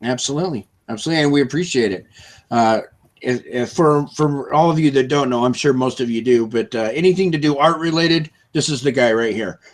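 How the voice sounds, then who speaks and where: A man's voice: medium pitch, a little fast. A man with a chest cold co-hosting a live stream over a video call, chatty and upbeat with lots of fillers. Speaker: co-host. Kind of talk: casual live-stream chat.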